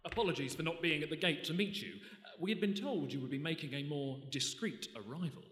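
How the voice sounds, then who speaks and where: Reverberant hall, actor as ship's officer, fairly high male voice, polite, formal, cautious, radio play. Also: fast, a bit bright, lightly acted. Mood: deferential. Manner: polite, formal, slightly hesitant. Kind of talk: live radio play acting. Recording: echoey hall, live stage.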